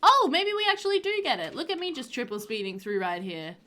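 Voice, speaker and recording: A woman's voice: high voice, sing-song, fairly quick, bright, forceful tone. A woman in her thirties with a slightly stuffy nose, chatting casually through a headset as she narrates her life-sim game. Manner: chatty, rambling, laughs often. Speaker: gaming channel host. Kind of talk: casual gameplay voiceover.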